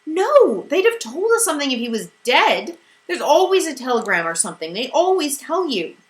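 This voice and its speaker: A woman doing a high, shaky teenage girl. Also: normal speed, a bit bright. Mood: distraught. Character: insecure teenage girl, emotional and tearful.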